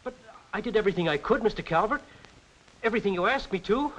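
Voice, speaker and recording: A man's voice: sits high, lively intonation, fast, fairly bright tone, muffled recording. An actor playing a harried, obedient official who reports to his bosses, in a 1940s film. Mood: pleading. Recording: hissy old 1940s film soundtrack.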